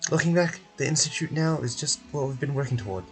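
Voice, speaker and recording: A man's voice: medium pitch, expressive, quick pace, soft background music, lightly acted. Man playing a wordy, officious institute official. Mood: officious, earnest. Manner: wordy, formal, polite. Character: formal, officious research institute official, businesslike adult.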